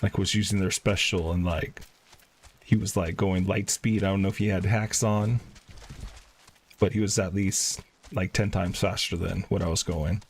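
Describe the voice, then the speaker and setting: A man's voice: low-pitched, fairly even intonation, normal speed, slight background noise. A man giving casual gameplay commentary as a streamer, thinking aloud in an informal way. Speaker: game streamer.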